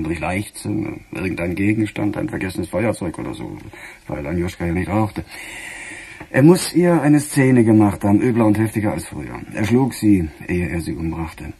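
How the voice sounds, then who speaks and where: An actor playing a weary, middle-aged police chief in a radio crime drama, musing in a husky, sardonic, halting way. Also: male voice, sits low, warm tone, full character voice. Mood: musing, confiding. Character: weary, embattled male police chief, brooding and gruff. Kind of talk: naturalistic German radio crime drama acting.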